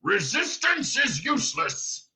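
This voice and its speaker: Storybook alien henchman: massive, stupid, booming, sluggish delivery. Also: huge pitch swings, creaky, bright, forceful tone, exaggerated voice. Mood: bellowing. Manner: slow, dull-witted. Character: huge dim-witted male alien guard, bellowing and sluggish.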